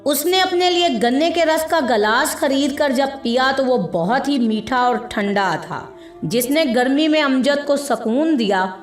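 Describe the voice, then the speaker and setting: A woman narrating a scripted story in a measured, expressive storytelling manner. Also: high-pitched. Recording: echoey room with background music. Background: music.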